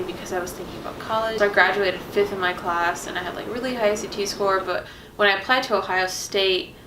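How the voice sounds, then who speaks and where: A young woman from Venezuela speaking in a level, even tone, earnest and full of "like" fillers. She is set back from the mic in an echoey room. Clear background noise hangs behind her. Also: on the low side, recorded from a distance. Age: young adult. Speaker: interviewee. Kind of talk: personal testimony.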